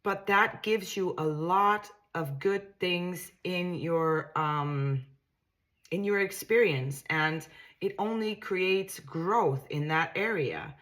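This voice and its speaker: Low-voiced woman with a Danish accent. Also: takes it slowly, fairly bright tone. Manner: musing, repeats and restarts words, reassuring. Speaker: tarot reader. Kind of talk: casual tarot reading to camera.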